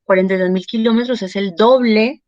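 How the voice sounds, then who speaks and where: A woman's voice: mid-range voice, brisk, darkish tone, no echo. Salvadoran woman lecturing, slightly dull audio. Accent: Salvadoran Spanish. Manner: discursive, checks in with short tag questions. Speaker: university lecturer. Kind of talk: informal explanatory lecture to students.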